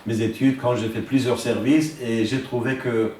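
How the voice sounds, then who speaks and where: A man's voice: medium pitch, fairly even intonation, moderate pace, dark tone, a little hiss or hum. An older man from Iran, in his sixties or above, speaks as an eye doctor, explaining things didactically in an interview. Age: 60s or older. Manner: didactic, asks and answers his own questions. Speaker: ophthalmologist. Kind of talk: explanatory interview talk, presenting his work and equipment.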